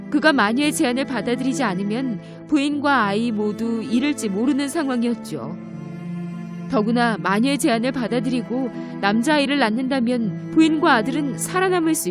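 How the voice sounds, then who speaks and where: A woman narrates a children's fairy tale from a script. Her voice sits fairly high, and music plays clearly behind it. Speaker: storyteller.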